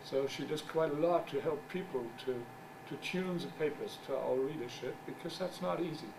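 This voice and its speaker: A middle-aged man whose first language is German gives a conference talk as a journal editor. He speaks English haltingly, searches for words, and makes wry asides. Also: on the high side, creaky. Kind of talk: conference presentation. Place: conference room. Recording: distant mic with background noise.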